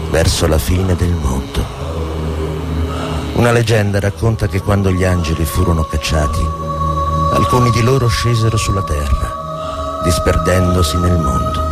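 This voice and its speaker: Solemn storyteller, low-voiced, poetic radio drama delivery, prominent music bed. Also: male voice. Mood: grave, brooding. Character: grave male storyteller of a dark apocalyptic legend. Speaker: narrator. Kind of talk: poetic scripted drama narration. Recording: loud music under the voice. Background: loud music.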